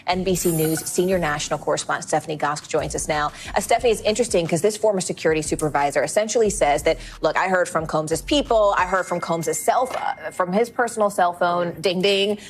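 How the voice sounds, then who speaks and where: A woman presents the news as an anchor. Her voice is on the lower side, with some faint noise in the background. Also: fast, few pauses.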